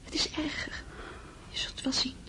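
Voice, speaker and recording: An actress playing an anxious, sorrowful young woman in a radio drama, speaking in a hushed, fraught, breathy way. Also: normal speed, in a whisper, lightly acted. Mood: grave, anguished. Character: Natasja, anxious sorrowful young woman nursing dying man. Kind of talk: literary radio drama acting.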